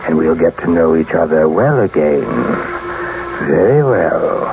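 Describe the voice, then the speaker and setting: An actor playing a scheming, possessive husband who has killed his wife's lover, smooth and menacing, in 1940s radio acting. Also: rumbling low, animated, a little slow, full character voice. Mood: gloating. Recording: muffled old radio recording with loud hiss.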